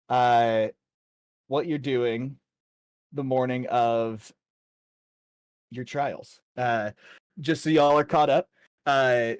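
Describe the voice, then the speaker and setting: A man's voice: medium pitch, no echo. A man narrating a tabletop fantasy game as dungeon master, informal with his players.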